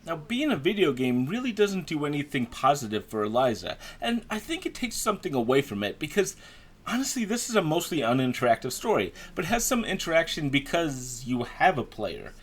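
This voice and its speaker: A man's voice: a little high, big pitch swings, fairly quick, breathy edge. Conversational man reviewing video games.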